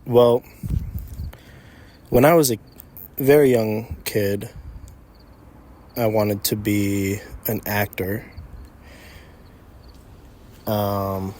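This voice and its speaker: A man's voice: fairly low pitch, drawn-out words, pauses often. A man in his early twenties talking reflectively and casually, feeling out his phrases as he goes, in an unscripted conversation. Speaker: young entrepreneur. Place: outdoors in an empty parking lot. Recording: steady outdoor insect noise. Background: crickets and night insects.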